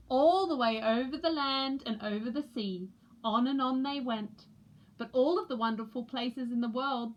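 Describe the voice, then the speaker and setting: A woman reading a children's picture book aloud with expressive narration. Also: fairly high pitch, muffled recording. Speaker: storybook reader for a school.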